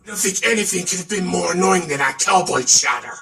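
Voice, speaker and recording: A man's voice: high-pitched, lively intonation, fairly quick, gravelly, sharp tone, recorded from a distance, faint music behind. A man performing theatrical character voices in a voice acting reel, switching from one to another. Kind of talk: voice acting demo reel of game and cartoon character impressions.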